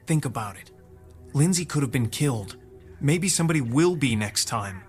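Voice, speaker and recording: A man's voice: medium pitch, lively intonation, moderate pace, faint music behind, natural voice. A man playing a hesitant, thoughtful young theatre crew member in an audio drama. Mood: earnest.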